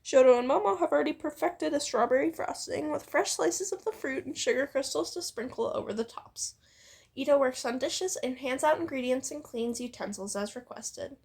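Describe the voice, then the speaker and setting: A woman's voice: sits high, moderate pace, vocal fry, a little mellow. A woman reads fan fiction aloud as a co-host, playfully narrating and readily breaking off to joke and giggle. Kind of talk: playful co-read story narration with banter.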